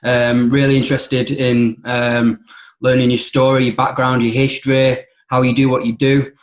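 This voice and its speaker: A man's voice: mid-range voice, little pitch movement, normal speed. A male podcast host with a mid-range voice asks questions politely, stumbling through false starts and ums. The recording has a noticeably echoey room around him. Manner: hesitant, lots of ums and restarts, polite. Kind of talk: conversational interview.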